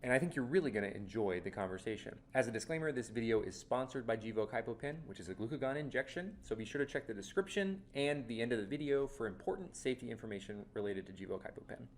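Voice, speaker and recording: A man's voice: mid-range voice, expressive, fast talker, few pauses, a little hiss or hum. A man giving an upbeat scripted intro to his channel's video. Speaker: channel host.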